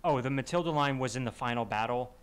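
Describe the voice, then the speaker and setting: A man's voice: medium pitch, fast, clean tone. A man talking casually in a group podcast discussion, often fumbling his words and backtracking. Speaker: podcast panelist.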